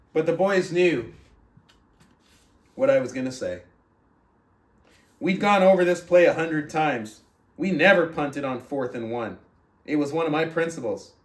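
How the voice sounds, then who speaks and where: A man with a fairly high voice hosts a book club, talking and reading aloud. He sits back from the microphone, and the room around him echoes clearly. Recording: roomy echo, distant from mic.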